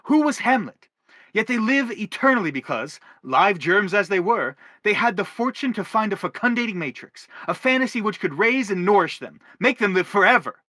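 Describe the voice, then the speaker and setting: A man's voice: high-pitched, lively intonation, normal speed, bright, forceful tone, no echo, full character voice. An actor playing an earnest, courteous father character in a radio stage play, speaking formally and with pleading eloquence. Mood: impassioned.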